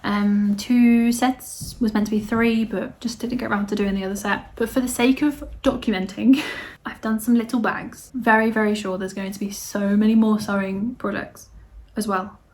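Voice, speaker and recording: A woman, hosting her knitting podcast in a casual, rambling chat full of fillers and restarts, her voice slightly hoarse. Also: mid-range voice, steady tone, moderate pace, a little mellow.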